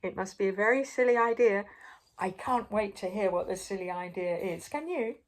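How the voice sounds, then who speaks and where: An older woman reading a children's story aloud expressively, pausing for drama and putting questions to the listener. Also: mid-range voice. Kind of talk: animated read-aloud to young children.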